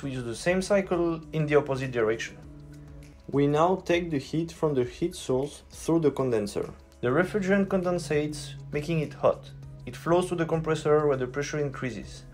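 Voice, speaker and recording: Male host, strong French accent, calm explainer voice-over, soft music behind. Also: a little high. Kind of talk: scripted explainer narration.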